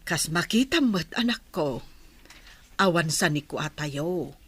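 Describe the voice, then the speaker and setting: A woman playing a loving, earnest mother giving gentle advice in an Ilocano radio drama. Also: deep voice, lively intonation, unhurried, husky, heavily acted. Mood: tender, earnest.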